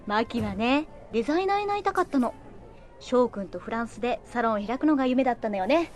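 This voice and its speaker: A woman playing a lively, encouraging editor friend in her thirties in a Japanese radio drama. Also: a little high, quick pace, faint music behind, natural voice. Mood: cheerful.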